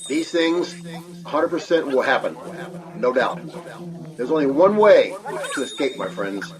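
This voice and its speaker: A man's voice: mid-range voice, big pitch swings, unhurried, a crowd in the background, poor audio. A man playing a solemn, emphatic doomsday preacher delivering an urgent, ominous warning, as a call-in pastor in an audio drama. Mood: pleading, urgent. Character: grave doomsday preacher warning of mass abduction. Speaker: pastor calling in to a show. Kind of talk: urgent apocalyptic warning monologue.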